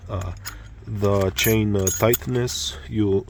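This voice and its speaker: Slow hesitant man, Romanian accent. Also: on the low side, fairly even intonation, a little hiss or hum. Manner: halting, many fillers, searching for words. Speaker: motorcycle tutorial maker. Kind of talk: hesitant spoken tutorial.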